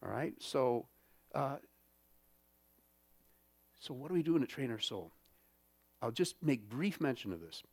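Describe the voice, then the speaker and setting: Lively-voiced male pastor preaching. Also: mid-range voice, unhurried, long pauses, slightly rough, no echo. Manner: conversational, many fillers and check-ins. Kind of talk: sermon. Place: church.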